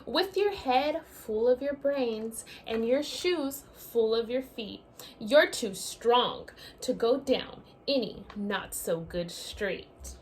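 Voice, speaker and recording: A woman teacher reading a picture book aloud to young children in an expressive, sing-song storybook manner, speaking to them directly. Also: a little high, slow, slight background noise, low-quality recording.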